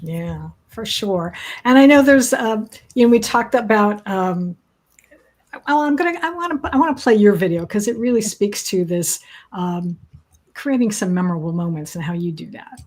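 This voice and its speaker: A woman's voice: mid-range voice, lively intonation, normal speed, a little mellow. A woman hosting an online talk show, chatting casually over a video call and rambling with lots of fillers. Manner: rambling, with many "you know" fillers and restarts. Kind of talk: casual online interview chat.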